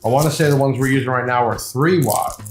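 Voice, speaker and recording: An echoey room surrounds a man with a medium-pitched voice as music plays softly behind him. He presents products like a shop owner on camera, chatty and rambling. His delivery is informal, with an enthusiastic salesman's patter. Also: fast. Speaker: video host and shop seller presenting products. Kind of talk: casual unboxing review, talking to camera.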